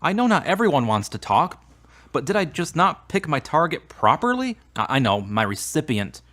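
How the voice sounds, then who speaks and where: A man's voice: fairly high pitch, very animated, normal speed, a bit bright. A man playing an earnest, frustrated young man in an audio drama.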